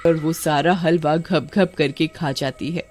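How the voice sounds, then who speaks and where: A woman narrating a cartoon horror story in an even, storybook manner. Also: low voice, a little slow, faint music behind.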